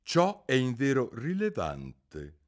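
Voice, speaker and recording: A man voicing a pompous old king presiding over a trial, in a loud, blustering, declamatory manner. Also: mid-range voice, wildly swooping pitch, slow pace, pitched above the performer's own voice.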